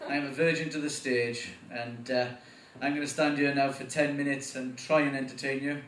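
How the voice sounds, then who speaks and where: A man with a Welsh accent performing a stand-up comedy set, getting animated at punchlines. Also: moderate pace, distant mic, slight background noise. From Wales. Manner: animated, voice jumps up in pitch at punchlines. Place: comedy club stage. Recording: echoey room, voice from afar.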